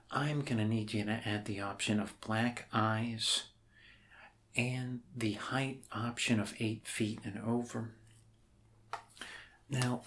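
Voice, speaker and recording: A man's voice: medium pitch, fairly bright tone. Rambling man in noisy ASMR doctor roleplay. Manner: rambling, hesitant, apologetic. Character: awkward, unconventional, reassuring doctor welcoming an alien. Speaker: ASMR performer playing a doctor. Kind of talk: ASMR roleplay, improvised soft talk. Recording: clear background noise.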